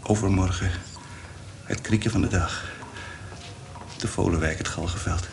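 A low-voiced, urgent condemned prisoner. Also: male voice, unhurried. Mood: grim, resigned. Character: condemned prisoner, a poor man, urgent, fond brother. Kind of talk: radio drama acting. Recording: noisy radio play recording.

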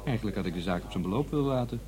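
An actor playing a defiant, aloof young left-wing student in a Netherlands Dutch radio play. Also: male voice, fairly low pitch, animated, rapid-fire, crowd noise, lightly acted. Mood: insistent. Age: young adult.

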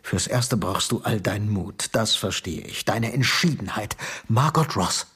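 An actor playing a boastful, seductive and fanatical Soviet security chief in a radio drama, theatrical in manner. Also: male voice, expressive, a little slow, husky, exaggerated voice. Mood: seductive, menacing. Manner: theatrical, swinging from booming to hushed.

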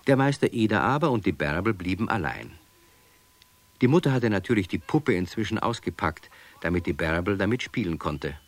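A man's voice: sits low, lively intonation, a little fast, no echo. A man narrating a children's radio play as a scripted storyteller.

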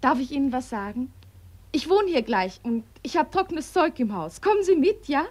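A woman's voice: high voice, big pitch swings, moderate pace, a little mellow. An actress in a radio play as a kind young woman, coaxing, playful and insistent. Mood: coaxing, teasing. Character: kind, teasing young woman, lively and forthright. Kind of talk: German radio play acting.

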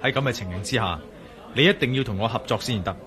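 A man's voice: medium pitch, quick pace, fairly bright tone, some chatter behind, close to an everyday voice. An actor playing a determined male adventurer in a Cantonese radio drama, speaking firmly and decisively with a Hong Kong accent. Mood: serious, firm. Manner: firm, urgent, decisive. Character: determined, commanding male adventurer on an urgent mission.

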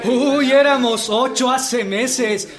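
Very high-voiced, excitable rebel. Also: male voice, slow pace. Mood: proud. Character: excitable, high-voiced male revolutionary fighter. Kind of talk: Spanish-language radio drama acting. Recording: loud crowd noise behind.

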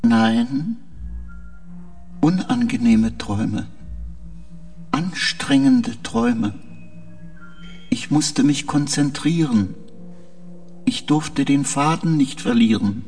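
Slow, gravelly male spaceship computer. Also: on the high side, sing-song, a little mellow, faint music behind, heavily acted. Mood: strained, halting. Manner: deliberate, terse, halting, repeating phrases. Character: troubled, literal-minded spaceship artificial intelligence, male. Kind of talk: radio drama acting.

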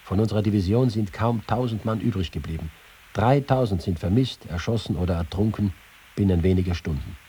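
A man's voice: fairly low pitch, fairly quick, dark tone, husky. An actor playing a reporter who reads his war notes and letters in a dramatic literary style. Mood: grave, observant.

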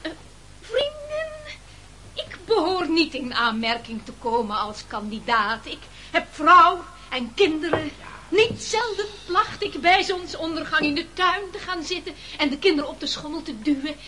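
A timid, self-pleading castaway in an old radio drama with slight noise. The voice is very high and animated, stammering its way through evasive protests. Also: male voice, a little slow, exaggerated voice, poor audio. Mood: pleading oratory. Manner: hesitant, stammering, plaintive. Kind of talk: radio play acting.

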